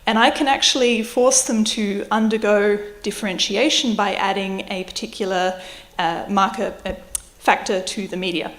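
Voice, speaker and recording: Woman, 30s, Australian accent with slight British edge, mid pitch; public lecturer, clear and explanatory, lecture-hall mic. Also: steady tone, moderate pace, a bit bright. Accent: Australian with slight British influence. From Adelaide, Australia. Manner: explanatory, with light self-deprecating asides. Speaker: university researcher giving a public lecture. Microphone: microphone at a lectern or on her.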